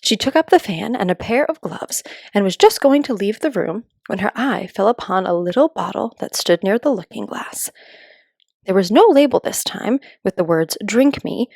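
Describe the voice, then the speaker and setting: A woman storyteller giving a calm read-aloud narration for children. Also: mid-range voice, moderate pace, gravelly, dry recording, clean studio sound.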